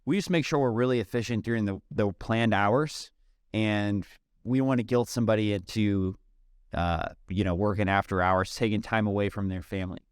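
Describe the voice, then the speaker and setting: Laid-back man in his thirties, low voice. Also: moderate pace, clean tone, dry recording, clean studio sound. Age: 30s. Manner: relaxed, chatty, frequent "um" and "dude". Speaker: podcast guest, software company CEO. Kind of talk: casual interview conversation.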